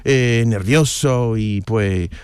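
A man's voice: lowish voice, drawn-out words, darkish tone, clean tone, no room sound. A man whose first language is English, speaking Spanish with an English accent as an interview guest, hesitant and searching for words with many fillers. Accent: English-speaking learner of Spanish. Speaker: interview guest, newspaper columnist. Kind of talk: casual interview chat.

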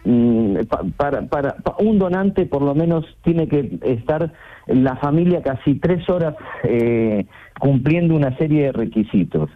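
Phone-in guest: male, middle-aged, thin telephone sound, conversational, halting and repetitive delivery. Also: medium pitch, animated. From Argentina. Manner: halting, repeats words and phrases. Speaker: radio call-in guest, sales representative. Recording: tinny phone line into radio show.

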